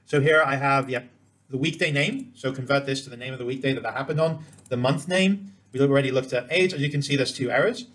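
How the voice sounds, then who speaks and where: A man's voice: mid-range voice, fast talker, fairly bright tone. A man presenting an informal screen-share tutorial, explaining each step as he demonstrates it. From Cambodia.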